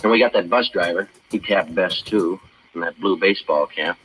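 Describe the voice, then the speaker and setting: A man's voice: deep voice, moderate pace, a bit bright, slight background noise, poor audio. A man playing a terse police detective, narrating his case in a clipped, matter-of-fact way in a 1950s radio drama. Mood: deadpan, businesslike. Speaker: narrator and lead actor. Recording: vintage 1950 radio recording, music behind.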